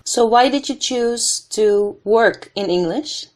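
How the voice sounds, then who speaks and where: A woman's voice: a little high, slow pace, a little mellow. A woman from the Netherlands with Dutch as her first language, hosting an interview and asking questions in a friendly way. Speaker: podcast host. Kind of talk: casual interview.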